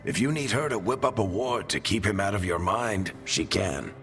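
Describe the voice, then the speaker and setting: An actor playing a seasoned, injured team leader in a video game, wry, casual and encouraging. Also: male voice, lowish voice, lively intonation, normal speed, a bit bright, long reverb tail, faint music behind. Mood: frank, caring.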